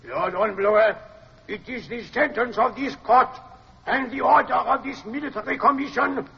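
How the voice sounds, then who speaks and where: An actor playing a stern military judge in a radio drama, solemn and declamatory, with a husky, creaky voice. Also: male voice, extremely high pitch, moderate pace, exaggerated voice. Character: stern male military judge passing sentence. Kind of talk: mid-century radio drama acting. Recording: muffled old radio, long hall reverb.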